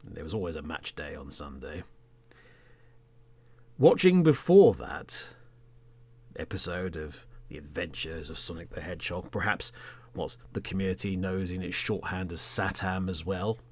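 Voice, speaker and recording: Theatrical, deep-voiced English man telling a story. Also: a little slow, husky. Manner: theatrical, with emphatic words and trailing hushed phrases. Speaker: podcast host. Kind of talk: dramatic third-person storytelling monologue.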